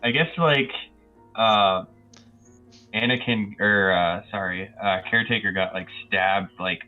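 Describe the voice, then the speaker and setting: A man's voice: on the low side, measured pace, phone-quality sound, soft background music. A man chatting casually as a player in a tabletop roleplaying game, hesitant and given to swearing.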